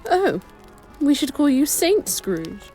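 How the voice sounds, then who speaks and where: High, swooping church nun. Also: female voice, sing-song, slow pace, a little mellow, faint music behind, full character voice. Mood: sweetly gushing. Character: genteel church sister, sweetly fussy and foul-mouthed. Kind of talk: comic radio play acting.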